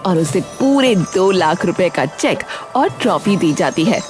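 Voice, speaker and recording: A woman's voice: lowish voice, expressive, measured pace, over music. A woman narrating a scripted cartoon story.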